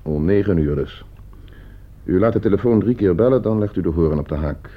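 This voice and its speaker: Smooth, low-voiced scheming gentleman. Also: animated. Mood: coolly instructing. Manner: measured, precise, instructing, polite. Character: cool, scheming nephew hiring a killer for inheritance. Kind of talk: radio drama acting. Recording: slightly dull radio play recording.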